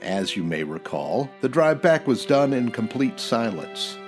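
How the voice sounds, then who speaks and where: A man's voice: medium pitch, sing-song, unhurried, loud music behind the voice. A man playing a tough, sardonic 1940s private eye in a slangy radio detective parody. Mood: dry narration. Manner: sardonic, slangy hard-boiled delivery. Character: wisecracking tough 1940s male private eye, narrating his case. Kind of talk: old-time radio detective parody, narration and dialogue.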